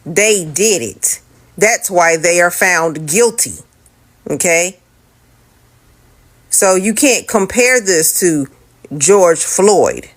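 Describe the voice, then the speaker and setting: A woman's voice: lowish voice, lively intonation, drawn-out words, long pauses. A woman giving argumentative commentary in an insistent, lecturing way, tagging points with "okay?".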